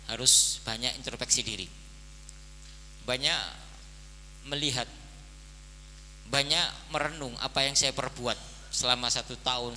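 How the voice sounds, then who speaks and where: A man in his forties gives a slow, measured sermon to his students. His voice is very bright and carries a long hall reverb. A faint hum sits behind him. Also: medium pitch. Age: 40s. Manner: slow, measured preaching with the repeated filler "nah, ini". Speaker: head of an Islamic boarding school. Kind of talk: birthday speech and sermon to students.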